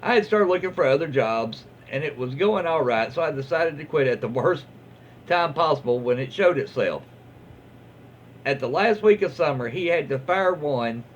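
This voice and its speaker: A man with a Southern US accent reading stories aloud in a casual, folksy, informal way as a host. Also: mid-range voice. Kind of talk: casual read-aloud storytelling. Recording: muffled, lo-fi with faint background noise.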